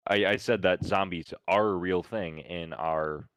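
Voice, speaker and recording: A man's voice: deep voice, unhurried, a bit bright, smooth, no room sound. A man with a Midwestern accent, hosting a casual podcast chat, talking easily with fillers and repeated words. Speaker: podcast host.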